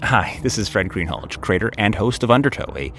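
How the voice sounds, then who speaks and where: A man's voice: on the low side, big pitch swings, brisk, a bit bright, faint music behind. A man from Maine, a podcast creator and host, reading a scripted horror promo. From Maine, United States.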